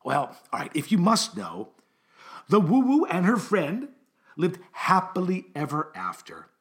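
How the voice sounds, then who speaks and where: A fussy, flustered teacher of small children, acted by a man in a comic stage monologue. The voice is high and bright, with a prim classroom lilt that swings up and down as it corrects itself. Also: huge pitch swings, a little slow, full character voice. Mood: prim, coaxing. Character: fussy, flustered first-grade teacher addressing young children.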